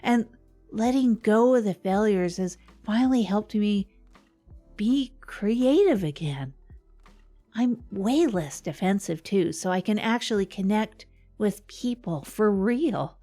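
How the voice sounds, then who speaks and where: A woman playing an earnest, rambling radio host in a comedy show, speaking in a halting, confiding way. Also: mid-range voice, expressive, dark tone, soft background music. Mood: earnest, reflective. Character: earnest, rambling woman radio host, self-help minded failed marketer. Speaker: podcast host character.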